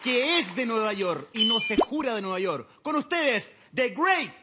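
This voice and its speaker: Lady announcer hyping the next act, lively voice, loud crowd and noise. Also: on the high side, measured pace. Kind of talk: introducing a comedian. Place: TV studio with audience.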